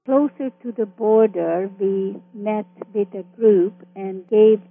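Over a tinny, narrow telephone line, an older woman who grew up in Hungary and Canada recounts personal history. She is a native Hungarian speaker with a medium-pitched voice, answering as an interview guest. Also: slow pace, husky. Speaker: interview guest, mental health counselor.

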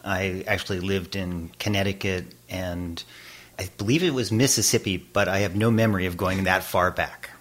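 An older man with a very low voice recalls his childhood in an interview. He is from Boston and talks in a reflective way, trailing off and filling pauses as he thinks. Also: steady tone, a bit bright. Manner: reflective, with frequent fillers and trailing phrases. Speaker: interview guest, abstract painter. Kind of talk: relaxed conversational recollection.

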